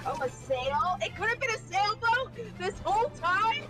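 A woman's voice: very high voice, sing-song, normal speed, over music. A woman chatting casually and teasingly as co-host of a film reaction. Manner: teasing, joking. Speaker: podcast co-host. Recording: film soundtrack playing behind.

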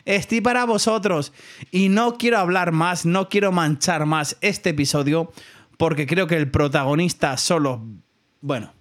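A man's voice: a little high, a little slow. A man with a Spanish accent from Spain, a podcast host chatting casually to his listeners. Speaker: podcast host and prank caller. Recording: music playing behind the voice. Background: loud music.

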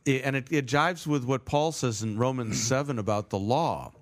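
A man co-hosting a casual theology podcast, speaking haltingly and often repeating words as he works out his point. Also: medium pitch.

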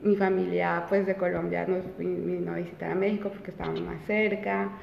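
A woman's voice: lowish voice, level tone, fairly quick, creaky, slight background noise. A woman in her twenties, an interview guest telling her own story casually, with many fillers and restarts. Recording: roomy, reverberant, dull sound.

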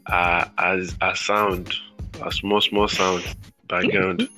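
A man's voice: low-pitched, level tone, bright, forceful tone, faint music behind. A male podcast host, chatting casually and restarting his sentences often. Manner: halting, many restarts and repeated words.

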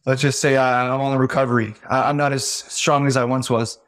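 A man's voice: medium pitch, flat delivery, fairly quick, a bit bright. A man hosting a podcast interview over a call, friendly and joking, often stumbling over his words. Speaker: podcast host. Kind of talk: casual interview.

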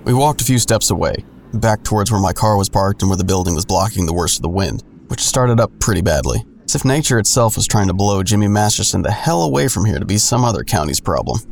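Gravelly, low-voiced sardonic narrator. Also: male voice, expressive, fast talker, darkish tone, a little hiss or hum. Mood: wry, confiding. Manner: wry, self-deprecating, crude humor. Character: sardonic, nosy man narrating his own misadventures. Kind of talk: first-person scripted narration.

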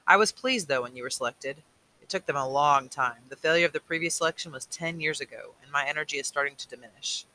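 A man reading an audiobook, voicing a tired, patient old male mentor who speaks slowly and earnestly. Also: a little high, pushed and bright, poor audio. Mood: solemn.

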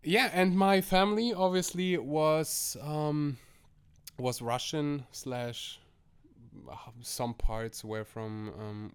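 A man's voice: mid-range voice, clear, resonant tone. A man from Germany, a podcast guest talking casually and hesitantly from a bedroom at home, with many restarts. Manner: hesitant, full of restarts and laughs. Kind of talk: casual conversational interview.

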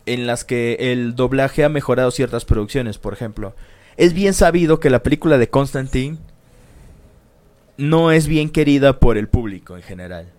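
Man, casual co-host, slangy, lots of fillers, average pitch. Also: normal speed, a little mellow. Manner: slangy and swearing, with many fillers. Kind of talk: casual chat.

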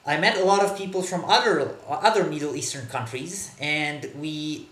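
A man from Israel, a native Hebrew speaker, answering interview questions in a halting way with many fillers and repeated words. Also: a little high, a bit bright, reverberant. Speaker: podcast guest, author. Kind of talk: casual interview talk. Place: Berlin.

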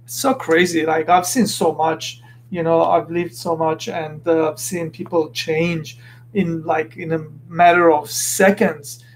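High-voiced man, earnest and halting. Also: flat delivery, slight background noise, poor audio. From Lebanon. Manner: earnest, searching for words, restarts often. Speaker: podcast host. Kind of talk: casual motivational monologue.

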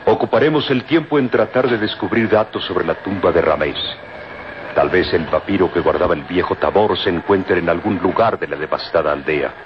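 Man acting a deep, grave middle-aged father. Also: close to an everyday voice. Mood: grave, determined. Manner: grave, deliberate. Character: sober, resigned father leading an expedition. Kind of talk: vintage radio serial acting. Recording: muffled, noisy old radio recording.

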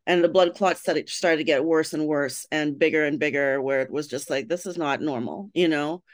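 A woman's voice: deep voice, normal speed, no echo, clean studio sound. A woman from Trinidad, a writer and blogger, chatting as a podcast guest and telling her story candidly with frequent laughs. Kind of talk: casual interview chat.

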